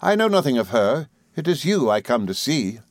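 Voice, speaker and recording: Man voicing a cold, scornful, high-pitched gentlewoman villain. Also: lively intonation, measured pace, no room sound, lightly acted. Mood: haughty, cutting. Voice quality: cold, stern, sharp tone. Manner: contemptuous, resolute, theatrically fierce. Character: hateful, imperious, scornful young gentlewoman villain. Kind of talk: dramatised classic novel reading.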